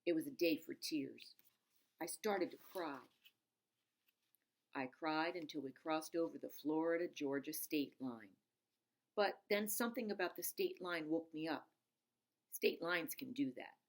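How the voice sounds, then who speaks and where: A woman reading a storybook aloud in an expressive, emphatic narrating style. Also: low-pitched, measured pace, long pauses. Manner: dramatic, emphatic, stops to explain words. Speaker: storybook reader.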